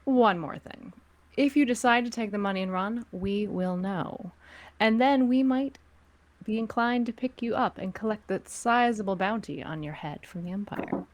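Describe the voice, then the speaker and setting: A woman playing a cool, condescending female crime syndicate spymaster in a sci-fi script read, with quiet threat in her measured delivery. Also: mid-range voice, close to an everyday voice. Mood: commanding, threatening. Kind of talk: script table read of sci-fi fan drama.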